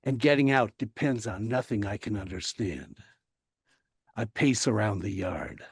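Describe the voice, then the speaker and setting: A man, a poet, reading his own verse aloud in a measured, deliberate manner. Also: on the low side, slightly rough, no echo, studio quality.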